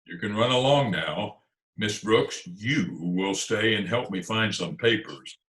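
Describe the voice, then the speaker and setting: Radio comedy principal, male actor: pompous, excitable, sharp-edged voice on an echoey remote recording. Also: on the low side, unhurried. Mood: bossy, irritated. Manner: pompous, blustering. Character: pompous, easily panicked male school principal. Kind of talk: old-time radio comedy acting.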